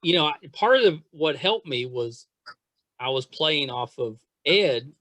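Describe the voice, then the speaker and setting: A man's voice: fairly high pitch, lively intonation, measured pace, a bit bright, dry recording. A man hosting a casual interview podcast, chatting in an effusive, rambling, self-deprecating way. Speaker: podcast host.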